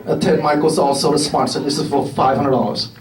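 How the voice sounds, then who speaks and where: A man presenting grants at a community meeting, halting and filled with ums and little chuckles. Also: on the high side, fairly even intonation, heavy background noise. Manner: halting, many fillers, chuckles between remarks. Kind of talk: casual presentation remarks. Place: meeting room with an audience. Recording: roomy hall from far off with crowd.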